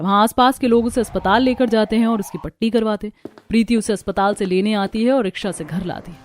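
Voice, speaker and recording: A woman's voice: mid-range voice, darkish tone. Woman narrating a scripted story.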